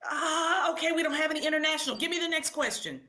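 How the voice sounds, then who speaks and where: A woman hosting a live read-aloud quiz for children in an animated, encouraging way, calling out to participants and repeating questions. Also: sits high, quick pace.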